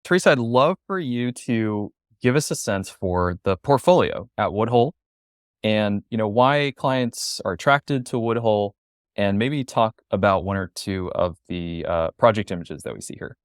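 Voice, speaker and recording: Male webinar host, thirties, lowish pitch, dry sound, presenter-interviewer delivery. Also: lively intonation, measured pace, smooth, no echo.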